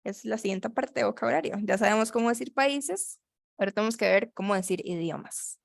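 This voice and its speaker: A woman teaching English over a video call, explaining slowly in Spanish and repeating words so her student can follow. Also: a little high, gravelly, dry recording, clean studio sound. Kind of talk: online English lesson.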